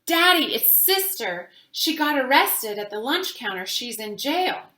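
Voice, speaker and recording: An earnest young girl from a storybook , voiced by a woman, eager and a bit pouty. Her pitch is raised and swoops about, and the room echoes. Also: sing-song, measured pace, sharp tone, exaggerated voice. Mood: upset, pleading.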